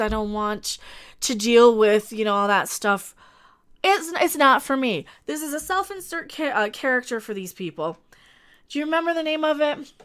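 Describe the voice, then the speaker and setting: Woman streamer, sniffly, high and crisp voice, blunt rambling live-stream chat. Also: a bit bright. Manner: rambling and blunt, with frequent restarts and asides to chat. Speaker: online commentator hosting a live stream.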